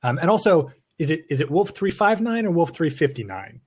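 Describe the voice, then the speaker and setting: A man co-hosting a casual interview podcast, speaking haltingly and often repeating words. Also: on the high side, big pitch swings, breathy edge. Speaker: podcast co-host.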